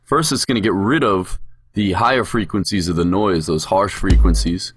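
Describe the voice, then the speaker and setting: A man narrating a software tutorial as an instructor, explaining things step by step. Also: sits low, moderate pace, slight background noise. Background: music production sounds, drum hits.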